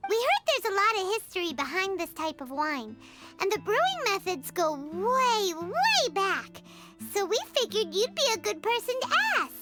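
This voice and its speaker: A woman voicing a tiny, bubbly fairy companion with a squeaky childlike voice in an anime-style video game. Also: moderate pace. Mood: eager, helpful. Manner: excitable, teasing. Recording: music behind the voice.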